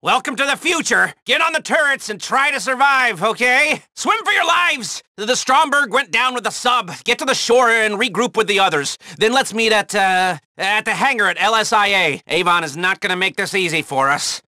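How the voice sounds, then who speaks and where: An actor playing a flippant, anxious, sarcastic intelligence handler in a video game, wry and excitable. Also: male voice, sits high, moderate pace, few pauses, no room sound, full character voice. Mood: urgent, nervous. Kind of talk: video game mission dialogue.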